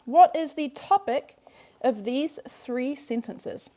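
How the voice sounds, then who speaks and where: English-speaking woman explaining grammar slowly, kinda high voice, repeats stuff to make it stick. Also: expressive, mellow. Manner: patient, walks through examples step by step, repeats key points. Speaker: Korean language teacher. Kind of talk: explanatory workbook lesson.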